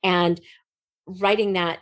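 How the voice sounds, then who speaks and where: A middle-aged woman with a slightly low voice, recorded dry with little room sound. She talks as an interview guest, explaining her ideas in an earnest, expressive way. Key words get emphasis as she reflects out loud. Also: takes it slowly, dry recording. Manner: earnest, emphatic, expressive storytelling with illustrative metaphors. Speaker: podcast guest, writer.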